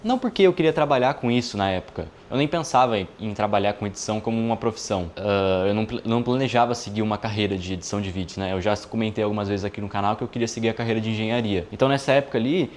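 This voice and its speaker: A man's voice: lowish voice, rapid-fire, few pauses. Young man chatting casually, faint hiss. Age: 20s. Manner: relaxed, chatty, frequent filler tags. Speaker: video editor and YouTuber. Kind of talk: casual vlog monologue.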